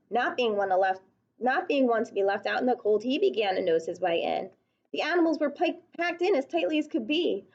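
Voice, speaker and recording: A woman with a fairly high voice reads a picture book to little kids. Her delivery is expressive and aimed at children, with chatty questions along the way. The recording is slightly dull and lo-fi. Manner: child-directed, expressive, asking the listeners questions. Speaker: storyteller reading aloud to young children.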